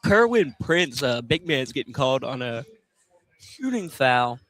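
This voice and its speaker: A man working as color commentator on a live college basketball game, informal and a little halting. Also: mid-range voice, very animated, fairly bright tone. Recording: clearly noisy live radio broadcast.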